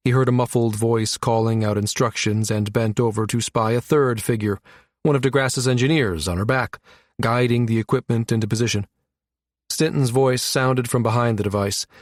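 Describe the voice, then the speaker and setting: A man's voice: medium pitch, no room sound, clean studio sound. A man reading a science fiction novel aloud as a scripted audiobook narrator.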